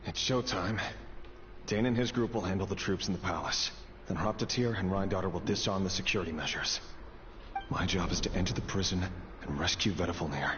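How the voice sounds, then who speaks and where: An actor playing a resolute rebel man on a rescue mission in scripted game dialogue, speaking in a measured, purposeful way. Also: deep voice, lightly acted. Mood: grim resolve. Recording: dull game audio with clear background noise.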